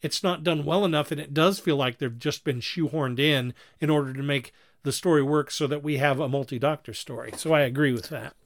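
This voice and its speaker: Higher-voiced man chatting as podcast co-host. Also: brisk, few pauses, no room sound, clean studio sound. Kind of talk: casual discussion and review.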